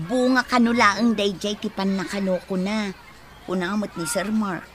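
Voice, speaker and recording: A woman's voice: medium pitch, fairly even intonation, measured pace, a bit bright, slight background noise, lightly acted. An actress playing a gossipy young woman who chats and teases.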